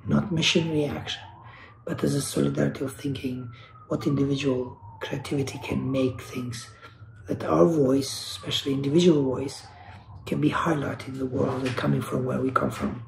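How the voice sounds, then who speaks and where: A man's voice: mid-range voice, normal speed, vocal fry, echoey room, slight background noise, poor audio. A man from Kosovo, speaking as an artist on a panel over a video call, earnest and searching, often restarting and repeating words. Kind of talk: reflective panel talk.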